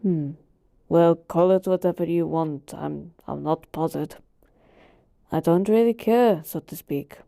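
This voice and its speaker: A woman's voice: on the low side, unhurried, gravelly, dark tone, no room sound, clean studio sound. A woman voicing an ancient, all-powerful genie who talks casually and teasingly to a master in a roleplay monologue. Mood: casual, wry. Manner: casual, teasing, conversational asides. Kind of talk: in-character roleplay monologue to the listener.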